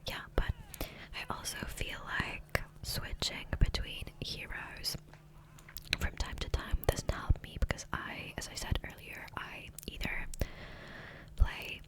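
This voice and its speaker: Woman doing whispered asmr gamer rambles, breathy, right up on the mic, casual. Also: takes it slowly, poor audio. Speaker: ASMR gaming video creator. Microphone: close USB condenser microphone.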